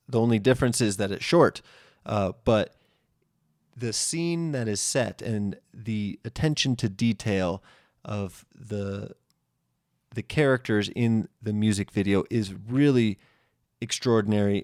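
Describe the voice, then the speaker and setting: A male podcast host with a fairly low, clean and smooth voice. The recording is close and dry, with very little room sound. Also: darkish tone, dry recording.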